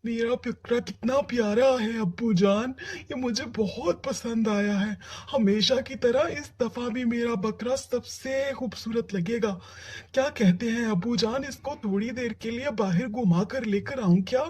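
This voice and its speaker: A performer voicing an eager, impatient young boy who pleads excitedly in a children's cartoon. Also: mid-range voice, unhurried, breathy edge. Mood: excited, delighted. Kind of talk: Hindi children's cartoon dialogue. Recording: music under the voice.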